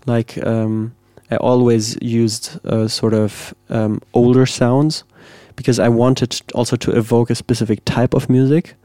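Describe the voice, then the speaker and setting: A man, a composer, giving thoughtful, measured interview answers and searching for the right words. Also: medium pitch, little pitch movement, mellow, no room sound, clean studio sound. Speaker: composer guest.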